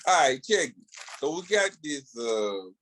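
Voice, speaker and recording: A man's voice: fairly high pitch, big pitch swings, a little slow, fairly bright tone, slight background noise. A man co-hosting a podcast in casual chat, with a laid-back, slangy, colloquial way of talking. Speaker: podcast co-host.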